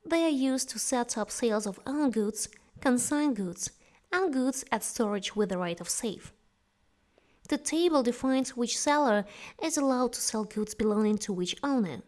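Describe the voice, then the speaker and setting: A woman's voice: on the high side, expressive, moderate pace, a little mellow. A woman instructor reading a scripted tutorial narration, giving step-by-step directions. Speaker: software course instructor.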